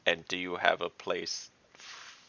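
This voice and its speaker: A man's voice: deep voice, completely flat delivery, takes it slowly, gravelly, sharp tone, muffled recording. A man chatting casually as a player in an online tabletop fantasy game. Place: online voice call.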